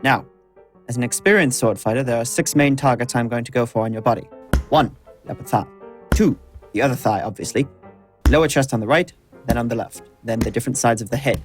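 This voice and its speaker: An actor playing a seasoned sword instructor in an audio drama, dry, blunt and patient, with a measured teaching manner. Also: male voice, medium pitch, soft background music, natural voice. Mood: dry, instructive.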